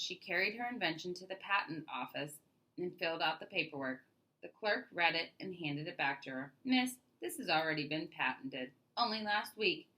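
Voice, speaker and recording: A woman's voice: low voice, bright, forceful tone, poor audio. A woman reading a children's story aloud as narrator, leaning into the start of phrases and trailing off at their ends.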